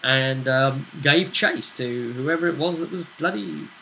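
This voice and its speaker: A man's voice: medium pitch, a little slow, pushed and bright, rough recording. A man acting as game master, casually recapping a tabletop role-play session and checking in with his player as he goes. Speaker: game master and host of the vidcast. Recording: faint background noise.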